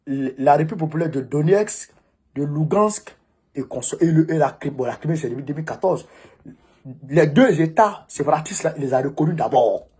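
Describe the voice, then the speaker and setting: A man with a West African French accent delivering an informal political monologue as a commentator, emphatic and repeating phrases for stress, with a husky voice. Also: on the high side, animated, brisk, dark tone.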